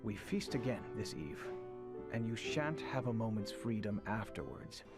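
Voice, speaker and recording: A young fantasy prince, earnest and brooding. His low voice carries formal, courtly phrasing with a sense of duty, in the manner of a video game drama. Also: very animated, moderate pace, over loud music. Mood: resigned. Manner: formal, archaic courtly diction. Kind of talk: video game cutscene acting.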